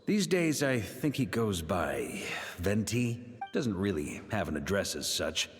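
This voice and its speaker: A man's voice: on the low side, very animated, unhurried, slight background noise. An actor playing a jovial, easygoing male knight commander with a hearty manner in a video game.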